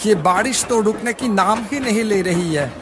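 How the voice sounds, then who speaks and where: Man as a very high-pitched worried villager. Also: lively intonation, normal speed, noisy background. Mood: surprised, concerned. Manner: plaintive, respectful. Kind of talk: Hindi cartoon story acting.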